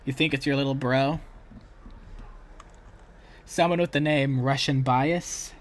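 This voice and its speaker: A man's voice: medium pitch, steady tone, slow. A man chatting casually as the host of a reaction livestream. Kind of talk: casual reaction stream chat.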